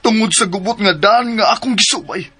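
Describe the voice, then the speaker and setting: A man's voice: high voice, a little fast, sharp tone, slightly rough, full character voice. A man acting in a radio drama as a troubled, remorseful young man.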